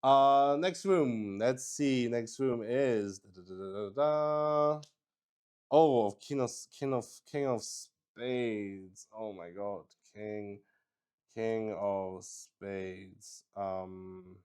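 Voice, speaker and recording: Slow-paced man thinking aloud, excitable and halting. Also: lowish voice, smooth. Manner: excitable, halting, repeats words, mild swearing. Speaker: host of a solo roleplaying game. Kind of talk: casual improvised game narration, thinking aloud.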